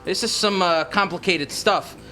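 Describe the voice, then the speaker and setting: A man playing a relaxed, crude man who laughs often in a comedic audio drama. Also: high voice, normal speed, faint music behind. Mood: casual. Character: crude, laid-back man enjoying the afterlife.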